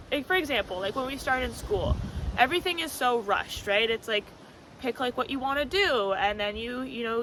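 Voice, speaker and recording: A woman with a loud voice rambling casually about spiritual ideas, full of fillers, talking to camera outdoors on a windy spot. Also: a little high. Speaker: spiritual vlogger. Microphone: camera mic, no external microphone.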